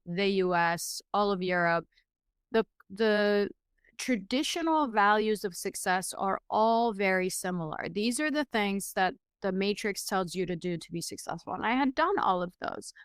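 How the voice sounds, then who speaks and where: A woman's voice: mid-range voice, clear, resonant tone, no room sound, studio quality. A woman in her forties, from Iran, telling her story as a guest in an interview, earnest and reflective with many fillers and restarts.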